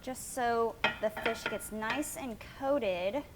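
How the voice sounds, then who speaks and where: A woman's voice: a little high, slow pace, very noisy. Woman hosting a casual, playful vlog. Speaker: fishing and cooking vlog host. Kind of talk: casual vlog, cooking walkthrough.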